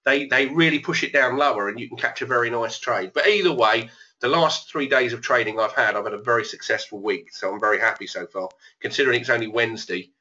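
Man, trading room host, slightly hoarse voice, bright forceful tone, dull lo-fi webinar sound. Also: medium pitch, fast. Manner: casual, thinking aloud. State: losing his voice. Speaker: trading educator. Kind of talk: live trading room commentary.

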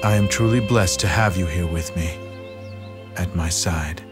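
A man playing a solemn, philosophical strategist and devoted husband in a video game, measured and tender. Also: low-pitched, loud music behind the voice. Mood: reflective, tender. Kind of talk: dubbed video game cutscene acting.

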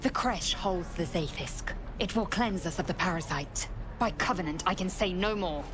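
A woman's voice: on the low side, moderate pace, over music, natural voice. A woman voicing a haughty, fierce alien warrior in a video game, speaking in clipped, imperious, formal phrases. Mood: stern, formal.

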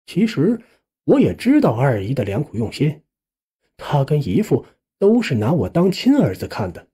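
A narrator telling a scripted first-person story in an expressive, colloquial storytelling manner. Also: medium pitch, breathy edge. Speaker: audiobook narrator.

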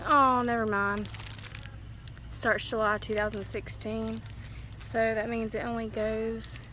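A woman's voice: fairly high pitch, noisy background. Chatty young woman vlogging in a store. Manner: chatty, frugal, talking to the camera while browsing. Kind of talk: casual shop-with-me narration. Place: large discount store, indoors. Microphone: phone camera held at arm's length.

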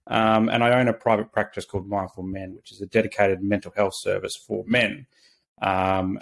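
A man's voice: low-pitched, vocal fry. An Australian man in his thirties, a social worker, earnestly telling his own story on a video call with many restarts and fillers. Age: 30s. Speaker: podcast guest, social worker. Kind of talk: casual interview, personal story. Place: small home office. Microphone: video call webcam.

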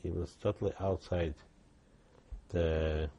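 An older man, giving halting oral history testimony with many restarts. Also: sits low, fairly even intonation, mellow. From Poland. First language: Yiddish. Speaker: interviewee.

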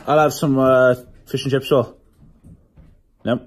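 A young British man hosting a prank vlog in a loud, excitable way, chatting to a handheld camera. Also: medium pitch, measured pace. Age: young adult. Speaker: prank video host. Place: small office rooms and inside a vehicle. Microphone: phone or camera at arm's length.

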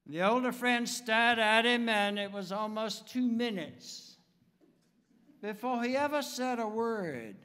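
An American man in his seventies preaching a sermon from a pulpit in a deliberate, emphatic manner with dramatic pauses. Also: very high voice, expressive, sharp tone, smooth. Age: 70s. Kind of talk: sermon with anecdotes. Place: church sanctuary, speaking from the pulpit. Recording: reverberant church hall, long echo.

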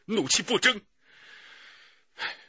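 An actor playing a grave, righteous middle-aged police chief in a radio drama, with a husky voice. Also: male voice, slow pace, bright, forceful tone, no room sound, dull audio. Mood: grave, pained. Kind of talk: Chinese radio drama acting.

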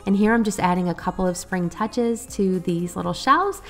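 A woman's voice: mid-range voice, moderate pace. A woman in her thirties narrating her cleaning vlog in a friendly, upbeat way while getting over a cold. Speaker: cleaning vlogger.

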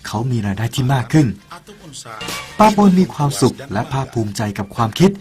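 A man's voice: medium pitch, sing-song, a little fast. A man narrating a parable in an earnest, persuasive way and speaking directly to the listener. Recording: soft music behind the voice.

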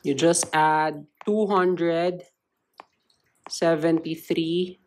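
A woman with a low voice gives a step-by-step tutorial. She speaks slowly and explains things in simple phrases, as a tutor does. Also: steady tone, a little mellow, a little hiss or hum. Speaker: chemistry tutor.

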